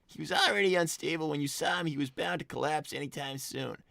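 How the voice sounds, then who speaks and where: Woman doing a cartoon teenage fox boy, creaky voice, forceful edge, hesitant, exasperated, dry close recording. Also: sits low, fast, no echo, full character voice. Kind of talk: improvised live cartoon roleplay.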